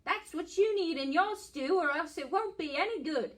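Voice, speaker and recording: A woman's voice: very high voice, animated, normal speed, pitched above the performer's own voice. A woman voicing a fussy, know-it-all male echidna in a storybook, with a bossy, exclaiming delivery.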